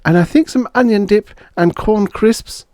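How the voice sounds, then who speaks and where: A man's voice: high-pitched, unhurried, mellow, dry recording, studio quality, voice pushed up. A man voicing an anxious, affectionate young wife who pleads with fire in an audiobook story. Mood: eager.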